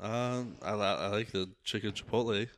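A man's voice: lowish voice, normal speed, a bit bright. A man playing a hungry suspect under interrogation who stammers and dodges questions. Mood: meek. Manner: hesitant, stammering, defensive. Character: hungry, evasive young male suspect.

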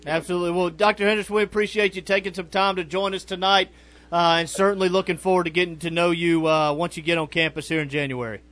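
A man's voice: high voice, fairly even intonation, quick pace, fairly bright tone, no echo. A man co-hosting a college sports radio show, interviewing a guest and chatting casually.

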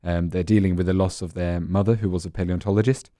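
An actor guest from Norway who answers earnestly and explains things carefully. His voice is very low and warm. The sound is dry. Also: steady tone, fast talker, dry recording. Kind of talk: interview answers.

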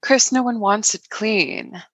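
A woman's voice: medium pitch, slow pace, husky, dry recording. A woman playing an easygoing, philosophical school staffer in improvised comedy, talking in a wry, reassuring way. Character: easygoing, philosophical school staffer named Tyler, consoling a cleaner.

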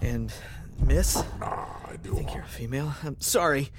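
A man's voice: mid-range voice, unhurried, background noise, close to an everyday voice. A man playing a wry, scrappy space lieutenant in a science fiction audio drama, with a sardonic, self-muttering delivery. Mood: cautious, placating. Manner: sardonic muttering to himself, sighing, breaking into shouts. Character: wry, scrappy male space lieutenant alone on a hostile ship. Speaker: narrating protagonist.

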